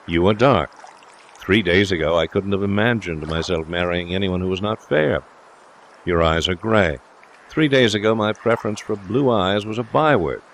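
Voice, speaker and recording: Male narrator as brash young suitor, low voice, self-assured, wordy, pompous philosophizing, storybook character, faint noise. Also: normal speed. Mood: confident, persuasive. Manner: complacent, talkative, pompously philosophical.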